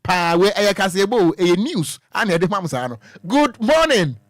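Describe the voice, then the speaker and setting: High-voiced Ghanaian male radio host. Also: very animated, normal speed, no echo, clean studio sound. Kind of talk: phone-in talk show. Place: radio studio.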